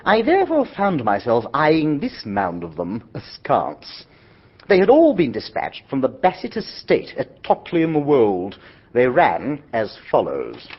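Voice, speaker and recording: Man playing a young toff narrator, chatty, sing-song, husky, dull lo-fi sound. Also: mid-range voice, huge pitch swings, measured pace, exaggerated voice. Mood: breezy. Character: young English gentleman, breezy, flustered, narrating. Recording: dull lo-fi recording.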